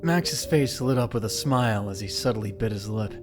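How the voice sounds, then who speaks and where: A man's voice: lowish voice, normal speed. A man giving scripted, dramatic story narration for an audio drama. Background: background music.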